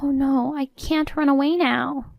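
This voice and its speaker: A woman's voice: high-pitched, fairly even intonation, a little slow, vocal fry, no echo, lo-fi sound, voice pushed up. A woman voicing a haughty, meddling middle-aged mother-in-law in a story, agitated and indignant. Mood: panicked.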